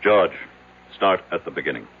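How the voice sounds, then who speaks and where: An actor playing a brusque, commanding rich business tycoon in an old-time radio drama, clipped, demanding and impatient. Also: male voice, low-pitched, animated, moderate pace, a little hiss or hum. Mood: insistent. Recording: tinny vintage radio recording.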